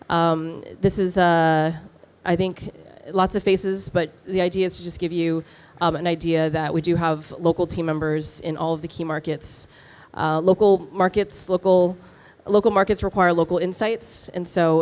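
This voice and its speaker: Woman from Taiwan, fund partner, low-ish voice, fluent stage pitch with fillers. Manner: warm, fluent, frequent "um" fillers. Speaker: venture capital partner. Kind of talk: conference pitch presentation. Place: conference stage.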